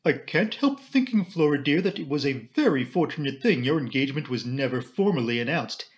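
A man's voice: medium pitch, big pitch swings, brisk, natural voice. A man voicing a fretful, self-pitying middle-aged society mother in an audiobook, genteel, plaintive and rambling.

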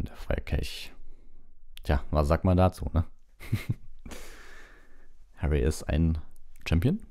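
A man reading an audiobook and hosting a livestream, with a relaxed, informal way of talking. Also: very deep voice. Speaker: audiobook reader, livestream host. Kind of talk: narration and casual livestream chat.

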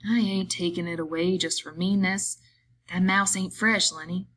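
Woman as a gruff working man, brusque, bossy, exasperated, rough rural slang, audiobook dialogue. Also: on the low side, fairly even intonation, moderate pace, fairly bright tone. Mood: softer, reasoning.

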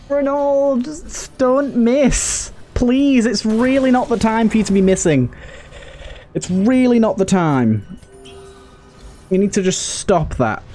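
A man plays and commentates a video game in a casual, conversational way. His voice sits on the higher side and moves widely in pitch as he talks to his characters, with game music underneath. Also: darkish tone. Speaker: gaming video host and player. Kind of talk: casual let's play commentary.

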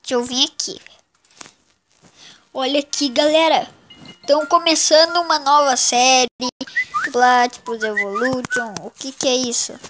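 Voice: high voice, steady tone, a little slow, pauses often, a bit bright, slight background noise. A child hosting a casual mobile game commentary, sounding congested with a cold. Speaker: gaming channel host.

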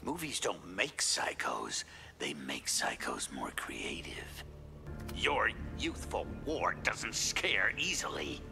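An actor voicing a sadistic, darkly playful masked killer in a video game, gravelly and breathy, mocking as he savors his threats. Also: fairly low pitch, wildly swooping pitch, slow pace, whispered, over music, full character voice. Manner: mocking, menacing, savoring words. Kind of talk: video game villain dialogue.

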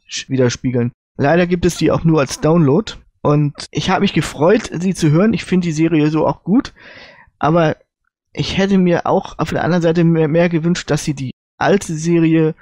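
A man's voice: fairly high pitch, darkish tone, no room sound. A man co-hosting a casual panel chat about audio dramas, hesitantly searching for his words as he gives opinions. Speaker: podcast co-host.

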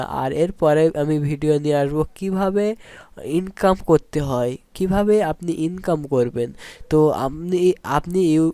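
A man's voice: fairly high pitch, dark tone, clean tone, no room sound. A man giving a spoken step-by-step app tutorial in an instructional way, often repeating his phrases. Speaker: tech tutorial host.

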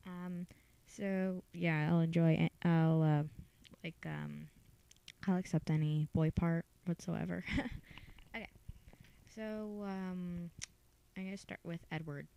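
A woman's voice: fairly low pitch, fairly even intonation, takes it slowly, creaky, clear, resonant tone, highs cut off. A woman talking casually and hesitantly, keeping her voice down, as she introduces an amateur voice audition. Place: bedroom.

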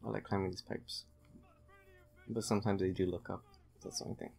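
Low, creaky-voiced man gaming. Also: level tone, a little fast, a little mellow. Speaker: let's play host, playing the game. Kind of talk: casual gameplay commentary with a friend.

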